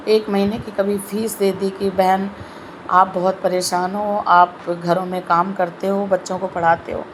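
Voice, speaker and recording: A woman with a sore throat giving a slow, earnest devotional talk in an online video. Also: medium pitch, a little hiss or hum, low-quality recording. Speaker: spiritual video host.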